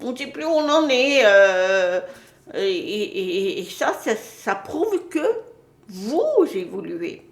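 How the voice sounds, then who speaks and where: A woman's voice: medium pitch, very animated, takes it slowly, echoey room. A woman giving a casual spiritual talk to her viewers, hesitant, with many fillers and restarts. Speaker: spiritual channel host.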